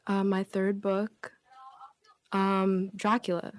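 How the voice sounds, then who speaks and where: A woman's voice: mid-range voice, monotone, takes it slowly. A woman chatting casually and informally, a bit hesitant, as a guest on a radio comedy show. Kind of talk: casual chat and joke reading. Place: small radio studio.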